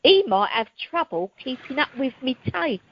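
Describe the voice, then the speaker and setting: Brash, cheeky wife acted by a woman, high and lively, flirty, amateur radio comedy. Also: normal speed, husky, no room sound, full character voice. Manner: cheeky, colloquial. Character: brash, flirty married woman with expensive tastes. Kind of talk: amateur radio play acting. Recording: dull telephone conference line.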